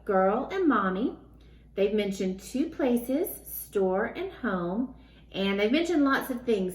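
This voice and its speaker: A woman teacher reading a children's storybook aloud in an instructive manner, checking in with her listeners. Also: mid-range voice. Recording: roomy, echoing room sound.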